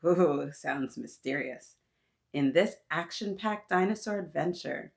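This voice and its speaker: A woman hosting an interactive storytime, reading aloud to young children in an animated, encouraging way and talking directly to her little listeners. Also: low-pitched, measured pace, gravelly.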